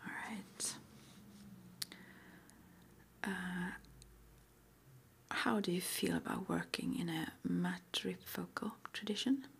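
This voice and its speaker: A woman playing a calm, gentle witch school interviewer in an ASMR roleplay, whispering softly and reassuringly without hurry. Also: fairly low pitch, creaky. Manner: soft, unhurried, reassuring, with murmured acknowledgements.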